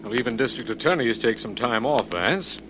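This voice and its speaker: An actor playing a genial district attorney chatting with a friend in a 1940s radio drama. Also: male voice, mid-range voice, animated, brisk, lightly acted. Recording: noisy 1940s radio recording.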